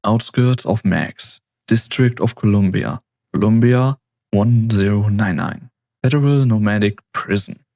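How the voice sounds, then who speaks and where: A man's voice: lowish voice, measured pace, darkish tone, no room sound, studio quality. A man narrating a scripted story as the narrator of an amateur production.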